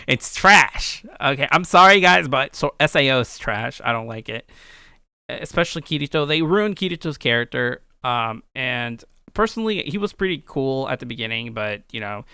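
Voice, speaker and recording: A man's voice: medium pitch, bright, forceful tone, clean tone, dry recording, studio quality. A young adult man giving casual, rambling let's play commentary as a video host, offhand and self-deprecating about his own work. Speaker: video host and amateur game creator. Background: background music.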